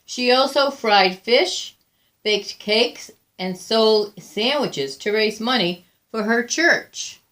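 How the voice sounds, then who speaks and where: A woman reading a picture book aloud as a storyteller, deliberate and expressive, with frequent pauses. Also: a little high. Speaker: volunteer storybook reader.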